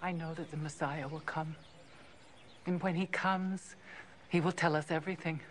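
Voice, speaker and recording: A woman's voice: low voice, fairly even intonation, moderate pace, close to an everyday voice. An actress playing a wary, direct, middle-aged village woman in a biblical film, plain-spoken and questioning. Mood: subdued, wary. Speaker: film actor. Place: outdoors, film location. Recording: film soundtrack with outdoor ambient noise.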